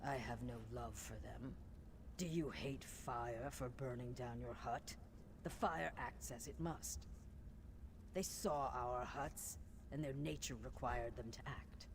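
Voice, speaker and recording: An actor playing a calm, fatalistic lizard-folk mystic in a fantasy video game, speaking in measured, cryptic proverbs. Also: male voice, medium pitch, noisy background. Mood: philosophical.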